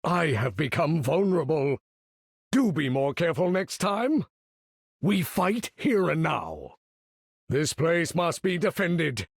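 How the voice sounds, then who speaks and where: Imperious, gravelly older supervillain. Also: male voice, a little high, sing-song, slow pace, no room sound, clean studio sound, exaggerated voice. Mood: strained. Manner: pompous, theatrical, formal diction. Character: imperious older male supervillain commanding a battle. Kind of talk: video game voice lines.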